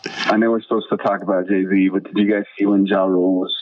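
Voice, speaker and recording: Male phone guest, somewhat low voice, dull distant line, talkative. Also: level tone, fast talker. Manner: talkative, storytelling, trails off and restarts. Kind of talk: casual chat.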